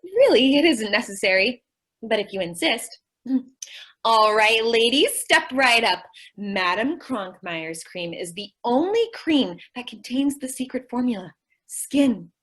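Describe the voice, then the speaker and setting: A woman's voice: a little high, animated, unhurried, fairly bright tone, slightly rough, full character voice. A woman playing a ditzy, cheerful and naive young working woman in old-time radio comedy style. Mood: naively confident. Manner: naive, earnest, mangles what she means to say.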